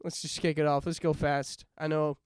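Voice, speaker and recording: A man's voice: a little high, little pitch movement, normal speed, a little mellow, clean tone, no room sound. A young man co-hosting a podcast in casual chat, congested with a runny nose, rambling and laughing often. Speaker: podcast co-host.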